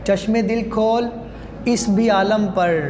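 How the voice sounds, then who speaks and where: A male student reciting poetry in a measured, declamatory way. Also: high voice, steady tone, a little mellow. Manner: measured, repeating lines declamatorily. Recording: very echoey hall, clear background noise.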